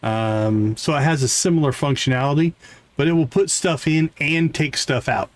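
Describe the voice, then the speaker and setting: A man's voice: fairly high pitch, moderate pace, no echo. A man hosting a game stream, casually explaining what is on screen and thinking aloud in an informal way. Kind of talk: casual live gameplay commentary, explaining as they play.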